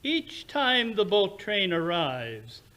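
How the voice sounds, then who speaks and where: A man playing a brisk, analytical, self-assured English gentleman detective in an old-time radio drama, with precise, formal, theatrical speech. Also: high voice, huge pitch swings, full character voice. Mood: inquisitive.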